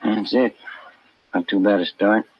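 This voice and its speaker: A man's voice: on the low side, fairly even intonation, unhurried, breathy edge. A man playing a businesslike American police detective partner, casual and laconic, in a 1950s radio drama. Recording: dull, noisy old radio recording.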